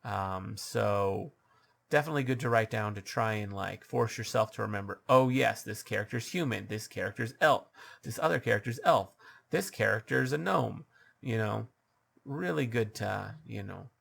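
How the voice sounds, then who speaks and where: Low gravelly man, halting with ums. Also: animated, a bit bright. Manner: halting, many ums and uhs, restarts. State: scratchy voice. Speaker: dungeon master giving advice. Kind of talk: unscripted advice monologue.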